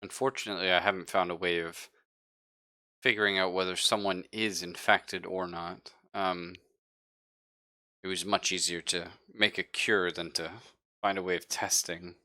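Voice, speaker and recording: Man acting a worried ship's medic, deep, close dry sound, apologetic, hesitant, tabletop roleplay. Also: fairly even intonation, sharp tone, no echo. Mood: uneasy, explanatory. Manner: hesitant, halting, careful. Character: anxious, apologetic male ship's doctor researching an infection.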